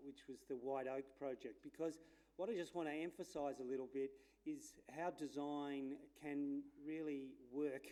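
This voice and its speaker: A man, a landscape architect giving a loud, chatty conference talk with many fillers. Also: mid-range voice. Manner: chatty, self-deprecating, with fillers; says his voice is loud. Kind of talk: informal conference presentation. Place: lecture room at a conference.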